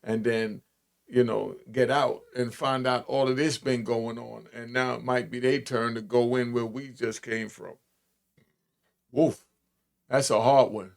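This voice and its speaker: Gravelly middle-aged American man commenting. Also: mid-range voice, steady tone, measured pace. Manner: informal, slangy, repeats himself. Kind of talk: casual reaction commentary.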